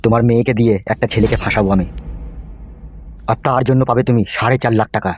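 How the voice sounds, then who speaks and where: A man's voice: mid-range voice, fairly even intonation, fast, lightly acted. A man playing a sly village schemer in a comic cartoon, coaxing and wheedling. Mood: plotting.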